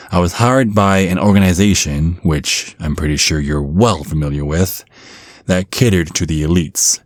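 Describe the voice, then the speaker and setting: Man playing a deep-voiced, brooding vampire bodyguard. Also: darkish tone, no echo. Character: grumpy, fiercely protective centuries-old male vampire bodyguard. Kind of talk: intimate ASMR roleplay monologue.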